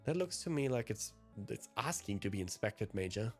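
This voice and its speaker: A man's voice: lowish voice, animated, moderate pace. A man voicing a polite, hesitant and anxious hero with a deferential manner in a visual novel read aloud. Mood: unsure. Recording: music behind the voice.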